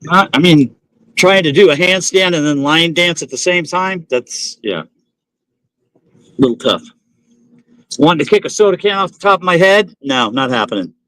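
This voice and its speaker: A man's voice: on the high side, lively intonation, moderate pace, pauses often, fairly bright tone, no echo, rough recording. A man chatting and teasing on a pontoon boat while fishing. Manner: teasing, joking. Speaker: fishing companion. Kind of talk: casual chat while fishing. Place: outdoors on a pontoon boat on a lake.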